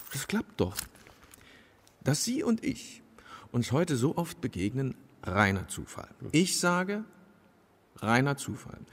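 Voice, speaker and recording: Eccentric self-important guard, radio drama: halting, doom-minded, wildly varied pitch, big echoing space. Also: male voice, breathy edge. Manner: halting, rambling, pompous. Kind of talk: German radio play acting. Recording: long reverb of a large hall.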